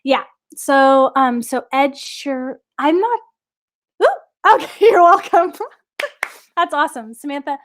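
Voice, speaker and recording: A woman's voice: sits high, slow, pauses often. A young woman teaching a live online singing class, chatting upbeat with viewers and answering their questions.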